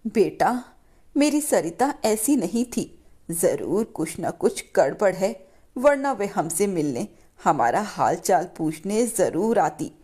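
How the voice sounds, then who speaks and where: A woman voicing a gentle, anxious, ailing old mother who pleads tenderly in a moral story. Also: medium pitch, big pitch swings, slow pace, husky, full character voice. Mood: worried, tender.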